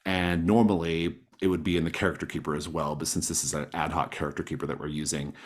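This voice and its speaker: A man with a very low-pitched voice runs a tabletop roleplaying game. He explains things to players in an encouraging, accommodating way over an online call. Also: little pitch movement, fast talker. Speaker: game master, host. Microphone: online group call.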